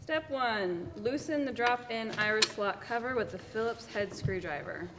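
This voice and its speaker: A woman's voice: a little high, normal speed. A young adult woman, a student reading written instructions aloud step by step. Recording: echoey hall, clear background noise.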